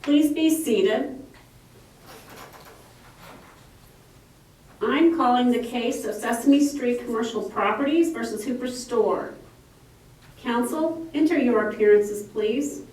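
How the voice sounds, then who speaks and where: A woman playing a brisk, mildly impatient trial judge in a puppet courtroom re-enactment, with a curt, procedural manner. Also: on the high side, darkish tone, natural voice. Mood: formal. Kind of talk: courtroom re-enactment read from a transcript. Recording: echoey room, distant mic, faint noise.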